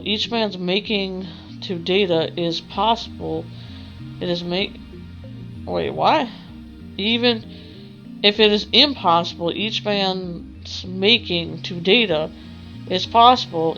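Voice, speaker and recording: A woman reading a visual novel aloud as a let's play, with a halting delivery that corrects itself. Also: medium pitch, a bit bright. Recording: dull lo-fi mic with music behind. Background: game music.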